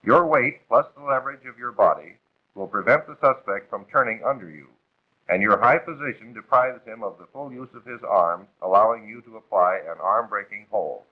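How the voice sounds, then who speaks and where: A man's voice: lowish voice, moderate pace. An American man narrating a 1940s instructional film from a script in a formal, authoritative, instructive manner. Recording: muffled, phone-like vintage film soundtrack.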